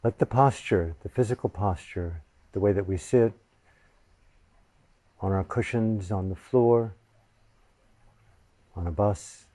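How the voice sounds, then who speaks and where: A man guiding a meditation in a soft, reverent voice, pausing often between phrases. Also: lowish voice, no echo. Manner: soft, reverent, unhurried, with long pauses. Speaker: meditation teacher. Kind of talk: guided meditation.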